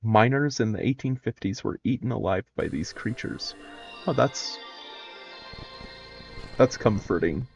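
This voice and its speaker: A man's voice: on the low side, animated, measured pace, gravelly, pauses often, a little mellow, husky, soft background music. A man giving casual reaction commentary in a musing, wry manner. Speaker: reaction video host.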